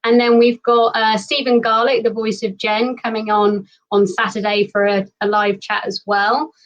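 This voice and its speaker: A woman's voice: fairly high pitch, level tone, moderate pace, far from the mic, lo-fi sound. Giggly woman hosting a stream. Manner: bright, giggly, upbeat. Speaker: host. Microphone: video call.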